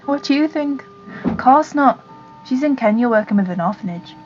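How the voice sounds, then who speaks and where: A woman's voice: high voice, expressive, normal speed, dull audio, soft background music. A woman playing a bitter, sceptical middle-aged village mother in an audio drama, curt and sarcastic.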